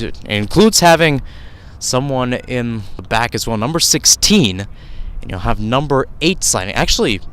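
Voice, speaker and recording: A man's voice: on the low side, a bit bright, clear, resonant tone. A man calling live soccer play-by-play for radio from outdoor fields, talking in a rambling way and often correcting himself.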